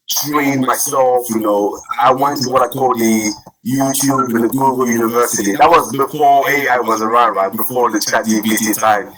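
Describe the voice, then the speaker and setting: A man who grew up in Cameroon presents in a webinar. He speaks over a video call, restarts often and fills pauses. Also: medium pitch, poor audio. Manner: many restarts and fillers, rising energy.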